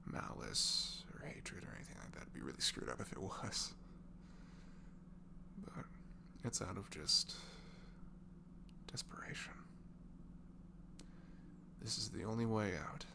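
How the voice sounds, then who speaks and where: A man softly improvising an intimate, comforting voice message to a friend, halting and casual, sometimes slipping into a murmur. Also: lively intonation, whispered. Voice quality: low velvety, gravelly. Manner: gentle, halting, drifts into breathy murmurs, casual teasing.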